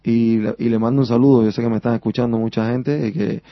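A man from Cuba speaks with a strong Havana accent, rambling freely and tossing in "sabes?" as he tells his story. His voice sits at an ordinary pitch. The sound is dry and a little dull, as in a radio studio. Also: fairly even intonation, fast, no room sound. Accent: Havana Cuban Spanish. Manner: talkative, rambling, keeps asking "sabes?". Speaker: singer, interview guest. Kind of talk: radio interview.

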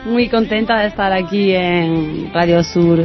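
A woman's voice: fairly low pitch, measured pace, dull audio, over music. A woman from southern Spain, chatting cheerfully as an interview guest, sometimes hesitant in her phrasing. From Córdoba, Spain. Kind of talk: casual interview chat. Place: radio studio.

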